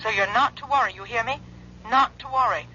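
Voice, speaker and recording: An actress playing a sharp-tongued older mother and grandmother who scolds and insists, in a 1970s radio drama. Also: on the high side, brisk, a little hiss or hum. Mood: insistent. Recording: dull old radio broadcast recording.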